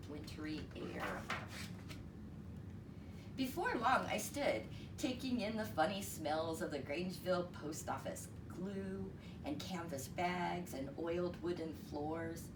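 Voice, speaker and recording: A woman reading a story aloud in an expressive, explanatory way, as if to young listeners. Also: lowish voice. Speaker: storyteller reading a picture book. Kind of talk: read-aloud story narration. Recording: roomy, distant mic, noisy.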